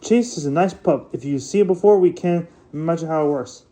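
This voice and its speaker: Rambling toy-unboxing guy, slightly high voice. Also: a little fast, dark tone. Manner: rambling, stumbles over words and restarts often. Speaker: toy reviewer. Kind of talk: casual unboxing review.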